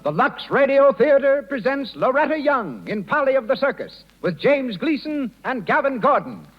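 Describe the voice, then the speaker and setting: A man's voice: very high-pitched, very animated, normal speed. A man announcing a 1930s American radio show and reading its ads in a formal, proclaiming manner, with an American accent. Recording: muffled 1930s radio broadcast with music.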